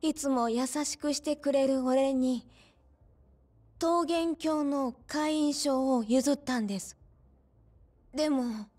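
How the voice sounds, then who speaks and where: High-voiced, polite young woman. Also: a little mellow. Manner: polite, explanatory. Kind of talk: Japanese video game cutscene acting.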